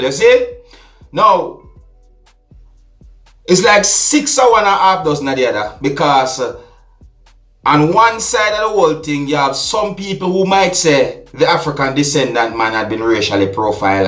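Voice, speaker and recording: An echoey recording with music behind a Jamaican man's voice at a medium pitch. He gives deliberate opinion commentary as a vlog host, often turning to address his viewers directly. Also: a bit bright. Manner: deliberate, repeatedly addresses listeners with rhetorical asides.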